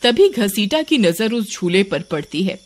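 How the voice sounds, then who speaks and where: A woman's voice: mid-range voice, very animated, a little slow, very noisy. A woman narrator reading a scripted story for a cartoon. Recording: music bed behind voice.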